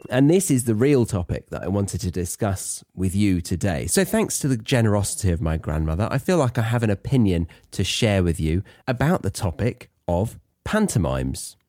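Male British podcast host, low-pitched and animated, wry conversational teacher, close dry sound. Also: moderate pace, few pauses, no echo. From Britain. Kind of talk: chatty educational podcast monologue.

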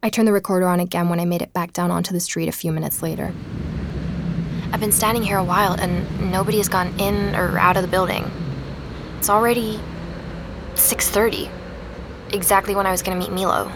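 An actress playing an earnest, rattled young woman investigator in a naturalistic audio drama, speaking haltingly as she records her search. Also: fairly low pitch, fairly even intonation, natural voice. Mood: uneasy, narrating. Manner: hesitant, halting, with repeated words. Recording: street and vehicle noise behind.

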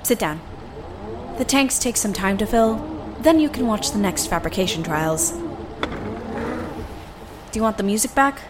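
A woman's voice: mid-range voice, expressive, a little slow, pauses often, noisy background, lightly acted. An actress playing a matter-of-fact female technician guiding others in an audio drama. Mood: calm.

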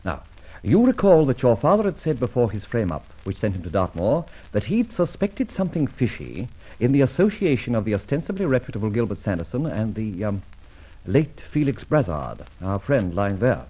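Suave, husky gentleman adventurer. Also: lowish voice, very animated, moderate pace. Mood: commanding. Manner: urbane, wry, commanding. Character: suave, self-assured gentleman adventurer and amateur sleuth. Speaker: narrator and lead actor. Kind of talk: vintage radio adventure serial acting. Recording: dull old radio recording, music behind.

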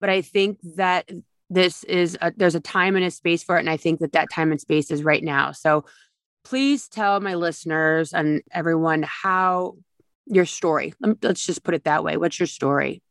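A woman's voice: lowish voice, moderate pace, dry recording, studio quality. A woman hosting a podcast, talking in an effusive, gushing way to her guest and listeners. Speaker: podcast host. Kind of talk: casual podcast chat and ad read.

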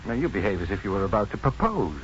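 A muffled old radio broadcast carries a low, very expressive male voice. an assured, kindly physician with dry wit. He phrases things deliberately in classic fifties radio drama style. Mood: wry, easy. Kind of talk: 1950s radio drama acting. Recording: muffled, faintly noisy 1950s radio broadcast.

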